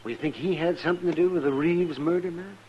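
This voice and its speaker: An actor playing a crotchety, teasing frontier town doctor with a folksy manner in an old-time Western radio drama. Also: male voice, fairly high pitch, fast, lightly acted. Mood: concerned. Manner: folksy, needling, chuckling old-timer. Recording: dull, slightly noisy vintage radio recording.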